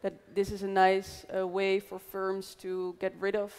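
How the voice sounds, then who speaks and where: A woman's voice: mid-range voice, monotone, a little slow, a little mellow, clean tone. A young woman moderating a panel, asking questions hesitantly with many fillers. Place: large hall with a live audience. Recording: long hall reverb.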